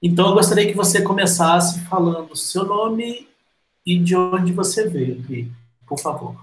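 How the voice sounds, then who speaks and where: A man's voice: high-pitched, moderate pace. A man hosting a casual interview over a call, jokey and easily amused. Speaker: interview host. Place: remote call. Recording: roomy with faint background noise.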